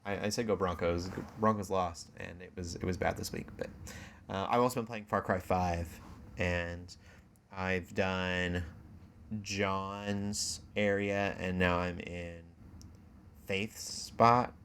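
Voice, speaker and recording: A man's voice: deep voice, steady tone, takes it slowly, fairly bright tone. A man hosting a podcast in a casual, jokey, self-deprecating chat style. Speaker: podcast host. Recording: clear background noise.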